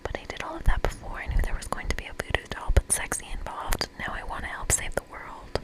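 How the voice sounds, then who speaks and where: A woman's voice: fast, close to an everyday voice, rough recording. A spoiled, scheming male monster, played by a woman pleads and demands in a dramatic whisper. He is a pushy video game character who badly wants his way. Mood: pleading.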